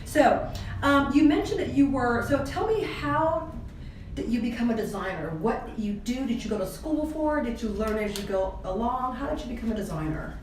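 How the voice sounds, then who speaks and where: A woman's voice: on the high side, animated, moderate pace. A woman hosting an interview who gushes, repeats her praise and stacks several questions in a row. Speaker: interview host. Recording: echoey room, distant mic, faint noise.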